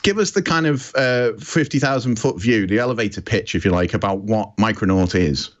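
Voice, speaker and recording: A man's voice: lowish voice, brisk, muffled recording. A British man presenting a podcast in a chatty, informal and self-deprecating way. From Britain. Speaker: podcast host.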